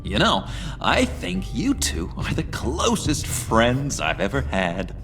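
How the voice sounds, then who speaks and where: Eccentric, wildly swooping, creaky sidekick. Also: male voice, mid-range voice, huge pitch swings, slow, over music, exaggerated voice. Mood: earnest. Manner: theatrical, earnest, off-kilter. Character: eccentric, eager, needy male oddball criminal sidekick. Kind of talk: video game voice acting.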